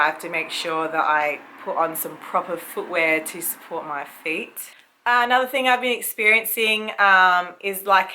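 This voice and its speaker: A woman in her thirties with an Australian accent, a little croaky from a cold, chatting casually to camera about her pregnancy with many ums and likes. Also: medium pitch, bright, forceful tone. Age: 30s. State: recovering from a cold, slight cough. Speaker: vlogger. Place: family home.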